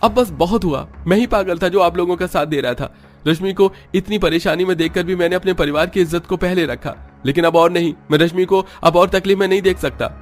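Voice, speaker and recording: A man voicing an earnest, protective young husband in an animated story. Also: sits high, brisk, voice pushed up, lightly acted. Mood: angry, resolute. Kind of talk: animated story character dialogue.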